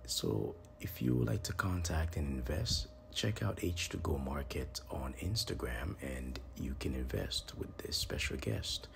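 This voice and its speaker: A man hosting a podcast, giving a promotional monologue in a deliberate, portentous way with emphatic pauses. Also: a bass voice, animated, gravelly. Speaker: podcast host. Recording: noticeable background noise.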